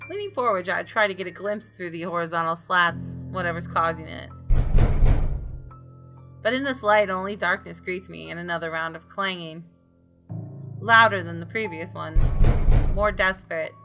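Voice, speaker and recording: A woman reading a visual novel aloud as expressive, dramatic storytelling for a gaming video. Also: fairly low pitch, moderate pace, pauses often. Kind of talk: expressive scripted narration with brief asides. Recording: game music behind the voice. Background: soft game music.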